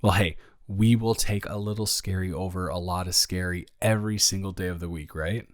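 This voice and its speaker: A young man playing a caring, flustered roommate in an intimate roleplay audio, rambling and stammering as he gently reassures a friend. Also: sits low, steady tone, a little fast, no room sound, clean studio sound, lightly acted. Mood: gentle, reassuring. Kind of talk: improvised intimate roleplay audio, one-sided conversation.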